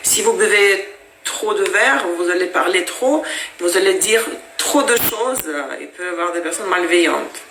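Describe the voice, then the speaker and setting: A woman's voice: fairly low pitch, animated, normal speed, background noise. A woman whose first language is Russian, giving a casual tarot reading to viewers in a chatty, explaining way with frequent hesitations. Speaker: tarot reader. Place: at home with her cat. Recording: echoey room.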